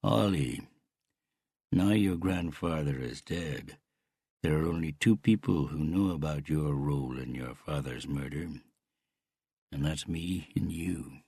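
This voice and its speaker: A man reading an audiobook as a controlled, quietly threatening middle-aged uncle with a Canadian accent. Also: very deep voice, a little slow, gravelly, husky, no room sound, heavily acted.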